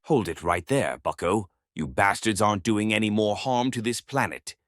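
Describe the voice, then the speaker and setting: A man's voice: fairly low pitch, normal speed, close to an everyday voice. A man playing a defiant, desperate fighter in an amateur action drama, gritty and emphatic, on a noisy recording. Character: defiant, desperate male fighter against villains.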